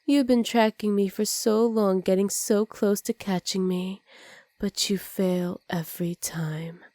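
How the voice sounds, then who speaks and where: A woman voicing a dominant, teasing supervillain in an ASMR roleplay, smug and commanding with soft, intimate delivery. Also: mid-range voice, fairly even intonation, unhurried, no room sound, clean studio sound, lightly acted. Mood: commanding, gloating.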